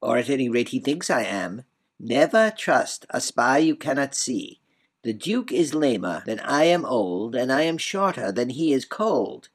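A man's voice: medium pitch, big pitch swings, unhurried, voice pushed up. A man voicing a whimsical, boastful, riddling old man in a storybook reading, with proud, sing-song, muddled wordplay. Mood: sly, riddling. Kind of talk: storybook character voice in audiobook reading.

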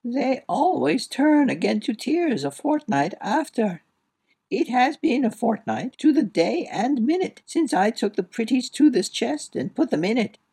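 A man voicing a weary, stony, witchlike woman in a storybook, creaky and high, speaking in solemn incantatory phrases. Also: very animated, unhurried, a little mellow, full character voice. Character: stony, weary, dry-eyed witchlike woman, coldly sorrowless.

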